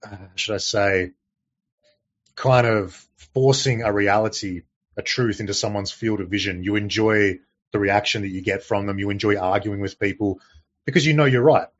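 A man's voice: fairly low pitch, normal speed, no room sound. A man co-hosting a livestream, chatting casually and teasing his co-host, with a chatty run of fillers. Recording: dry, slightly muffled stream audio.

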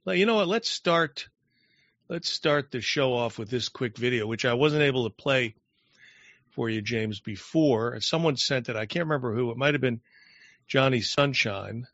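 A man's voice: medium pitch, moderate pace, fairly bright tone, no room sound, lo-fi sound. A man hosting a live online show in casual chat, loose and rambling, often trailing off mid-sentence.

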